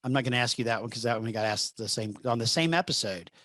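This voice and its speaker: A man hosting a podcast interview, friendly and encouraging, speaking in an unhurried way. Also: medium pitch, fairly bright tone, no echo, studio quality. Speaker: podcast host.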